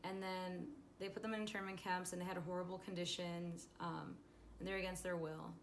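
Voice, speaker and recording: A woman's voice: on the low side, flat delivery, fairly quick, slight background noise. A young woman from California, a student answering interview questions while thinking aloud and sounding unsure. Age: 20s.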